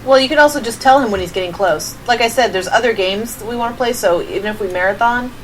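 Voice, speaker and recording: A woman's voice: fairly high pitch, quick pace, a little hiss or hum, poor audio. A woman chatting casually on a game stream, teasing her co-player as she comments. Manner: teasing, playfully mocking. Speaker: co-host of a game livestream. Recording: music playing behind the voice. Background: music.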